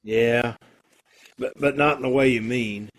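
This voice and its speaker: A man's voice: medium pitch, steady tone, unhurried, slight background noise, natural voice. A man playing a brooding, self-loathing drifter in an old time radio drama, with blunt, slangy tough-guy talk. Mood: uneasy, regretful.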